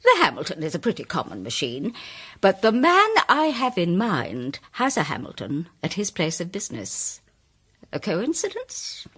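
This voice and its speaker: A woman's voice: lowish voice, wildly swooping pitch, fairly bright tone, slightly rough, heavily acted. An actress playing a self-important woman playwright who presents her case in a dramatised mystery with grandiloquent, declamatory speeches. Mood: emphatic, triumphant. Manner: declamatory, grandiloquent, deliberate emphasis. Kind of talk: dramatised audio mystery, formal speech-making.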